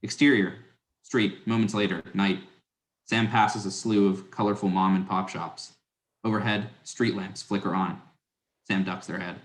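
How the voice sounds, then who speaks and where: A man serves as narrator for a dramatic script reading. His voice is on the low side, delivering scripted stage directions. The sound is noticeably echoey. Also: steady tone, a little slow. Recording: roomy, echoing sound.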